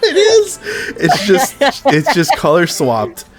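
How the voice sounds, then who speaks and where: A man's voice: high voice, wildly swooping pitch, slow, loud music behind the voice. A man hosting a casual podcast chat, rambling loosely, swearing, and laughing often.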